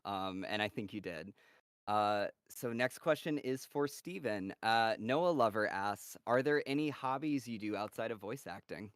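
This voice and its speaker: A man hosting a live-stream Q&A with a voice cast, reading out fan questions in a relaxed, upbeat way. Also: lowish voice, a little slow, smooth, dry recording, studio quality. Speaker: moderator of a voice cast Q&A.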